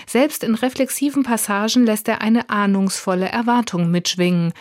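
Woman, standard German accent, radio critic, scripted review, mid pitch, dry studio sound. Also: moderate pace, no room sound. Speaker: audiobook reviewer.

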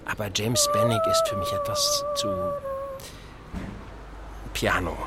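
An actor playing a genial, blunt older married admiral in a German radio drama, talking in a chatty, emphatic, hesitating way. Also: male voice, very high-pitched, wildly swooping pitch, takes it slowly, over loud music, heavily acted. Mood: chatty, opinionated.

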